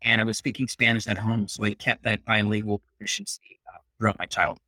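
A man's voice: lowish voice, flat delivery, fast talker, bright, forceful tone, no echo. A man in his thirties, born in Peru, talking earnestly as a guest in a casual interview and sometimes slipping into Spanish. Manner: reflective and earnest, slips into Spanish.

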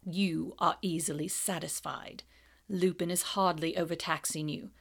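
A woman's voice: fairly low pitch, fairly even intonation, vocal fry. A woman reading as a cold, silky male teacher. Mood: cold, menacing. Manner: slow, quiet, icy and sarcastic.

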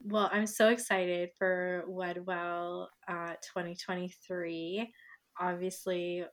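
A woman hosting a casual podcast interview, chatty and bubbly, full of fillers as she fires off quick questions. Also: on the low side, flat delivery. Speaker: podcast host.

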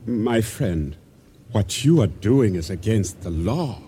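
A man acting a cautious, law-abiding island provisioner in a radio drama, questioning and expressive, in formally phrased speech. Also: on the low side, slow pace, slight background noise. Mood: alarmed, wary.

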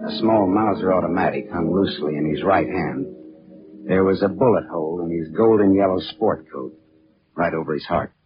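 An American actor playing a wry, tough private detective in a 1940s radio drama, terse and deadpan. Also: male voice, low-pitched, moderate pace, close to an everyday voice. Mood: grim, wry narrating. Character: wry, tough male private detective narrating his case. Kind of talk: 1940s radio drama acting, hardboiled narration. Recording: dull old 1940s radio, music behind.

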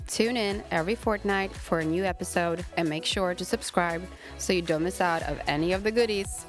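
A woman co-hosting a podcast, chatting in a friendly, brisk way. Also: fairly low pitch, soft background music. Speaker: podcast co-host. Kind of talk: casual podcast chat.

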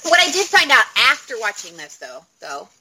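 A woman's voice: sits high, wildly swooping pitch, moderate pace, sharp tone, low-quality recording. A woman in her thirties chatting casually as a podcast co-host, telling stories dramatically and swearing freely. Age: 30s. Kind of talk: casual chat, animated storytelling.

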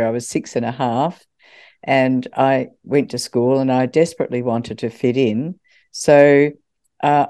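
Mellow-voiced older woman telling stories. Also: low-pitched. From Scotland. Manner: chatty, laughs often, self-deprecating. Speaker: guest, former radio talk host. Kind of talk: interview, anecdotal storytelling.

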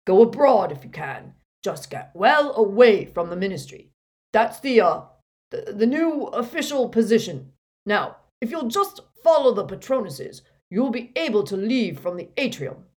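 A woman voicing a young wizard disguised as a burly official, giving forceful, blustering orders. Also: fairly high pitch, very animated, moderate pace, voice pushed up. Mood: commanding.

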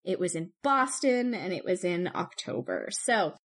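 A woman co-hosting a podcast, telling stories in an animated, chatty way. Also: on the low side, unhurried. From Canada. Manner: chatty, animated storytelling with laughs. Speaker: podcast co-host. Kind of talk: casual chat.

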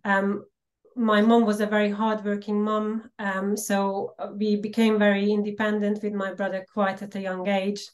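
A woman's voice: medium pitch, level tone. Hungarian-Slovak accented woman, reflective. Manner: frequent "um" pauses, earnest and reflective. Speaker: podcast guest, empowerment coach. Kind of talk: personal storytelling interview.

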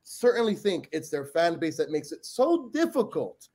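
A man from Peru in blunt, animated casual sports chat, cursing freely as a podcast panelist. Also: a little high, moderate pace, breathy edge.

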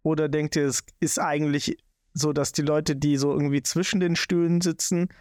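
A man's voice: on the high side, level tone, normal speed, a little mellow, no echo, clean studio sound. A man with a Swabian German accent, hosting a casual podcast talk and rambling with many restarts. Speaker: podcast host.

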